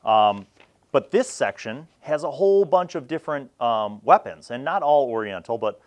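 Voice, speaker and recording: A man's voice: mid-range voice, animated, moderate pace, darkish tone. A middle-aged man hosting a casual review, chatting informally and thinking aloud with rambling asides. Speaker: host reviewing old gaming magazines.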